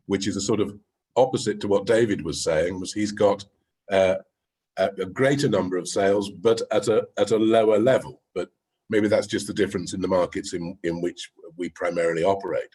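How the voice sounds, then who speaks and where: A man speaking on a webinar panel as a real estate agent, measured, often checking in with "yeah?". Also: low voice, darkish tone, breathy edge. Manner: measured, with frequent "yeah?" tags. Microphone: video call.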